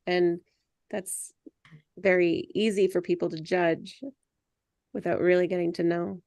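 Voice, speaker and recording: A woman's voice: fairly low pitch, level tone, a little slow, a little mellow, no echo. A woman sharing her thoughts casually and reflectively in a group discussion.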